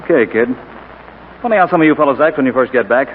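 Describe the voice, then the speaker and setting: A man's voice: mid-range voice, lively intonation, fast, natural voice. An actor playing a breezy, cocksure advertising man in 1940s radio drama, glib and familiar, bossing his pal along. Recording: tinny, faintly noisy old radio recording.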